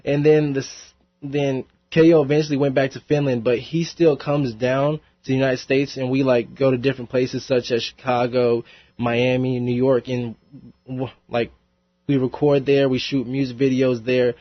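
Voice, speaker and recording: A man's voice: medium pitch, flat delivery, moderate pace, dry recording. A young man, a rapper, chatting casually in a radio interview, laughing often and rambling with plenty of fillers. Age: young adult. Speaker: rapper guest. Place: college radio studio. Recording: dry, slightly dull radio studio sound.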